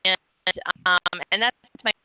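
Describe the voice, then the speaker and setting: A woman's voice: medium pitch, fairly even intonation, measured pace, phone-quality sound, faint music behind. A woman hosting an interview, a former hypnotist who rambles and searches for her words with many restarts. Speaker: podcast host and former hypnotist. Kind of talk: casual interview chat.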